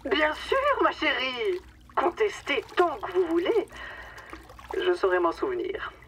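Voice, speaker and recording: A woman's voice: high voice, wildly swooping pitch, slow pace, a bit bright, heavily acted. An actress playing a falsely affectionate, condescending woman boss in a darkly comic radio drama, with a theatrical, mockingly sweet manner. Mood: mocking menace. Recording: distant microphone, clear watery background noise.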